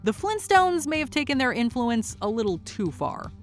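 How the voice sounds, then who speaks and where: A woman's voice: on the high side, sing-song, moderate pace. A woman hosting and narrating a scripted countdown video. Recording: music behind the voice. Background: background music.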